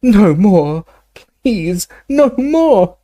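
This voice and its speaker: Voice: medium pitch, sing-song, measured pace, dark tone, slightly rough, no echo, studio quality. A storyteller voicing an old male wizard professor in anguish, begging and delirious as in an audiobook. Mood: screaming agony.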